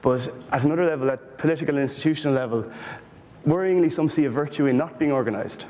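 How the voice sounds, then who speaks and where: A man from Ireland with an Irish accent, speaking earnestly but haltingly on a panel about political research. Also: fairly high pitch, a little mellow, in a large hall, slight background noise. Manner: earnest, halting, many restarts. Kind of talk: panel discussion.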